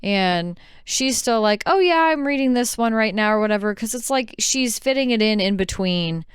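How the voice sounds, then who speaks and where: Very clear-voiced woman chatting on a podcast. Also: mid-range voice, lively intonation, normal speed, no echo, clean studio sound. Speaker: podcast co-host. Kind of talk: casual podcast chat.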